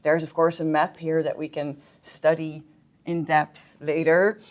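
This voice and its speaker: Low-voiced Dutch woman giving a talk. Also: little pitch movement, unhurried. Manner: friendly, chatty, with filler ums and restarts. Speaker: historian, guest speaker. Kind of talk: public history talk with slides. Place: public library meeting room.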